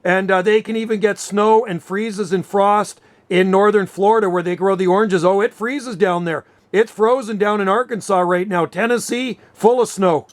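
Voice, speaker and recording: Male Canadian amateur radio operator, high-pitched voice, chatty and explanatory in an informal exchange. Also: steady tone, normal speed, few pauses, a little hiss or hum. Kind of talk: casual radio chat.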